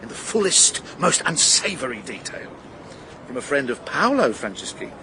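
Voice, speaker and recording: An actor playing a cynical, jealous married gossip, sardonic and insinuating, in a radio drama. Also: male voice, mid-range voice, sing-song, moderate pace, bright, forceful tone, a little hiss or hum, rough recording. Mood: knowing, insinuating. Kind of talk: naturalistic radio drama acting.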